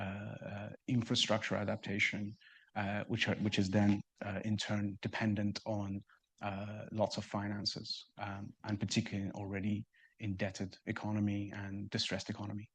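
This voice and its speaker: A man's voice: lowish voice, a bit bright, breathy edge. A man presenting at a seminar and answering questions in a hesitant way, often stammering and repeating words as he goes. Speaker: research fellow presenting seminar. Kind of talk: seminar talk and audience Q&A.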